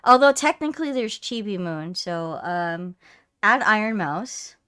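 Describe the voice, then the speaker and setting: A woman's voice: medium pitch, animated, unhurried, fairly bright tone, clear, resonant tone. A woman streamer in casual, playful banter with a friend on a livestream.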